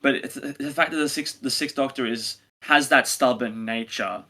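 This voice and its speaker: A man co-hosting a casual review discussion, talking in a halting way as he thinks aloud. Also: medium pitch, sharp tone. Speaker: podcast co-host reviewing audio drama.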